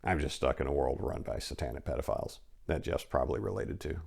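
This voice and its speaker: A man with a very low voice reads a memoir aloud with dramatic weight. He pushes hard on the big phrases and then drops into quieter, offhand asides as he comments. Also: fast talker, gravelly, slightly rough. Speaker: narrator reading a memoir and commenting.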